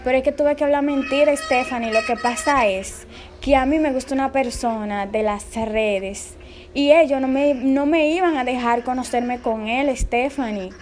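A woman's voice: high-pitched, moderate pace, darkish tone. A young woman on a casual phone call, sounding nervous and pleading. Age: young adult. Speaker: prank caller, vlogger. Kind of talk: casual phone chat, feigning nervous worry. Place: indoors in a bare-walled room.

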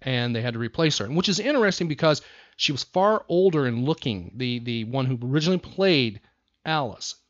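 A man's voice: medium pitch, lively intonation, brisk, fairly bright tone, no room sound. An American man hosting a casual trivia chat, asking questions and explaining facts in short phrases. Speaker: podcast host and trivia quizmaster. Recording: slightly dull, lo-fi sound.

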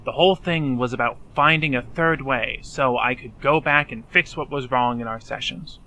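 A man's voice: medium pitch, normal speed, bright, forceful tone, slight background noise, low-quality recording. A man playing an anxious, self-blaming young man in a fan dub drama, speaking earnestly and hesitantly. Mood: ashamed, confessing.

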